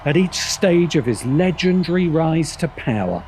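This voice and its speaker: A man's voice: a little high, lively intonation, measured pace, a little mellow, a little hiss or hum. A man delivering scripted polemical commentary as a video essay host, with a dramatic, scornful, emphatic manner. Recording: music bed behind voice. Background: background music.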